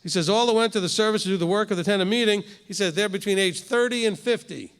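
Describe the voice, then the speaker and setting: A man's voice: high voice, quick pace, a bit bright, clean tone, long reverb tail. A man in his fifties, a rabbi leading a congregation's Torah study in a conversational way, often checking in with "okay?". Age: 50s. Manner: conversational, keeps asking "okay?". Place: congregation hall.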